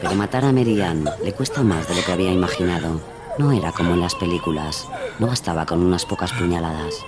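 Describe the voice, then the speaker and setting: A male narrator reads a scripted crime drama. His voice sits low, and music plays clearly underneath. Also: fast.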